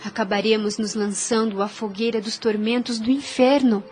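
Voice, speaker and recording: An actress playing a gentle, devout young servant woman in an audio soap opera, speaking earnestly and soothingly in formal phrases with a Brazilian accent. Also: fairly high pitch, flat delivery, moderate pace, faint music behind, close to an everyday voice. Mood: gently warning. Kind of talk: radio soap opera acting.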